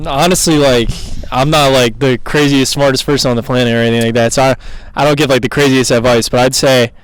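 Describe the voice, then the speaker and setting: A man's voice: medium pitch, fairly even intonation, fast, rough recording. A man of twenty, a videographer and co-host, talking in a casual, loose way, full of "like" and "bro". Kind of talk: casual chat.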